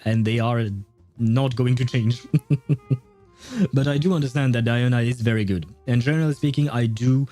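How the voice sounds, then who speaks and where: A man's voice: mid-range voice, dark tone. A man livestreaming a video game, chatting casually with viewers in a conversational, meandering way.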